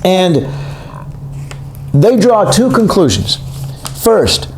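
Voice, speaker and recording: A man's voice: sits high, huge pitch swings, extremely slow, slight background noise. A man in his sixties giving a recorded economics lecture, talking in a loose, conversational way and often correcting himself mid-sentence. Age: 60s. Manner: rambling, self-correcting, conversational. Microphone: recorded for a video lecture. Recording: echoey room.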